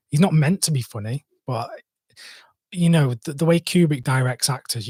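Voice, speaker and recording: A young man with a Mancunian accent hosts a casual live stream, rambling through viewer questions with plenty of fillers and false starts. His voice sits at an ordinary mid pitch, and the sound is dry and close with no room echo. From Manchester, England. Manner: rambling, hesitant, many fillers and restarts.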